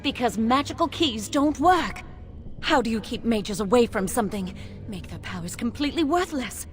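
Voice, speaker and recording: Actress as young chantry woman, fantasy game, solemn, measured, earnest conspirator, music underneath. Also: on the high side, expressive, lightly acted. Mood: distressed. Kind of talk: fantasy video game acting.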